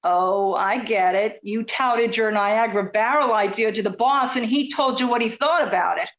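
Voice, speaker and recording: A woman playing an inquisitive, persistent journalist in a radio play, probing and brisk. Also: on the high side, a bit bright, muffled recording, close to an everyday voice. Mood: argumentative, determined.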